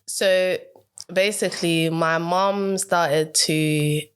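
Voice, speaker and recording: A woman's voice: fairly low pitch, steady tone, extremely slow, smooth. A woman in her twenties from Ghana, guest on an interview, telling her story in an easygoing way with frequent laughs. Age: 20s. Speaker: guest, mental health coach. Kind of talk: casual interview chat.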